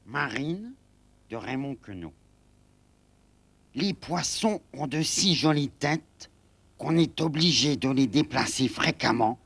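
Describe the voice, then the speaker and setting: A man reciting poetry with measured, deliberate phrasing. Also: medium pitch, vocal fry, breathy edge, no echo.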